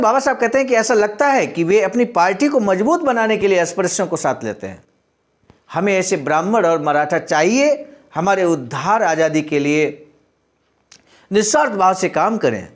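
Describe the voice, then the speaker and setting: A man's voice: high voice, very animated, normal speed. A man reading a speech aloud and explaining it, addressing his listeners in an earnest, exhortative way. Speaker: speaker reading and commenting on a historical speech.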